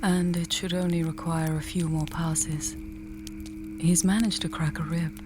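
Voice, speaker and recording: Woman playing a low-voiced wry fantasy mage. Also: flat delivery, background noise, close to an everyday voice. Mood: calm, explanatory. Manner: measured, articulate, dryly teasing. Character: wry, confident female fantasy mage and healer, teasing, caring. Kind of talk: fantasy audio roleplay, addressing the listener.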